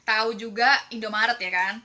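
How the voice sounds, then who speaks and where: A woman's voice: fairly high pitch, steady tone, a little fast, bright, forceful tone. An Indonesian-accented woman presenting as a team leader, explaining casually and chattily while often checking in with listeners.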